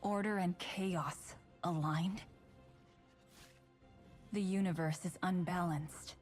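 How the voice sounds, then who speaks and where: A righteous female warrior of light from a video game. Her voice sits on the low side and she speaks slowly, with solemn command, as if every line were a verdict. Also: steady tone, soft background music. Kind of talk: scripted video game voice lines.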